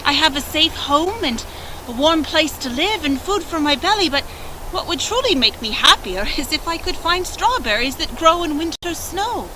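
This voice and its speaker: A woman's voice: high voice, expressive, normal speed, background noise, voice pushed up. A woman voicing a cheerful, patient young girl who speaks sweetly. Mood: gentle. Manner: sweet and bright.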